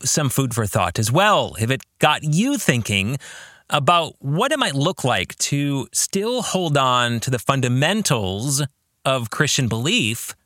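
Lively, bright-voiced male podcast host. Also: medium pitch, no echo, clean studio sound. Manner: deliberate, poses rhetorical questions to the listener. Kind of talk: reflective book club commentary addressed to listeners.

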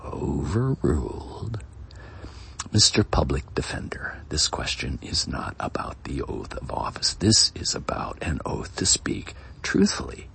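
A man playing a weary, dismissive judge in a dramatic reading, dry, curt and breathy. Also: very deep voice, very animated, measured pace, bright, forceful tone, whispered, exaggerated voice.

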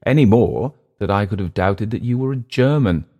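A nervous young Englishman, acted out by a male narrator, pitched up high and eager. He is naive and apologetic, stumbling over his words in an English accent, like a character in an audiobook adventure. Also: lively intonation, moderate pace, mellow, muffled recording. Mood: hesitant, flustered. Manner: hesitant, stammering, apologetic.

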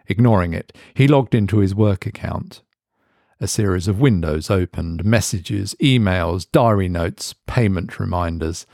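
A male narrator reads a scripted horror story with a low voice and a gravelly grain. His intonation moves a lot. The sound is dry and close, with no room around it. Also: unhurried, darkish tone, no room sound. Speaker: narrator, reader of horror stories.

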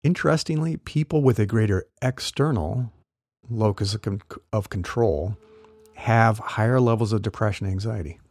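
A man who works as a career coach, talking through ideas in a relaxed, explanatory way with small laughs, his voice a little worn as he says he is losing it. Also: on the low side, moderate pace, no echo. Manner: conversational, explanatory, light laughs. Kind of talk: solo podcast talk.

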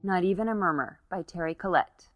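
A woman's voice: lowish voice, brisk, music in the background. A woman narrating a literary short story as its reader.

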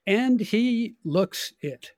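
An older man with an American accent giving opinionated, deliberate political commentary in conversation as a podcast guest. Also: high-pitched, animated, a little mellow, no echo, studio quality.

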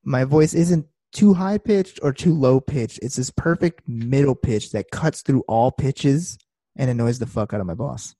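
A man with a mellow, darker tone, chatting in a jokey, excitable way and cursing casually. The recording is close and dry. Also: mid-range voice, measured pace, no room sound. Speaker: podcast co-host. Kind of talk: casual sports chat.

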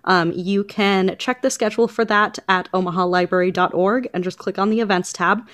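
A woman's voice: medium pitch, steady tone, a little fast, fairly bright tone, no echo, clean studio sound. A woman on a podcast panel giving information in a casual, conversational style.

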